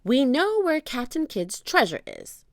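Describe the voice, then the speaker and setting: A woman's voice: high voice, huge pitch swings, a little slow, vocal fry, no echo, clean studio sound, pitched above the performer's own voice, full character voice. A woman voicing an earnest, easily startled young boy in a children's storybook.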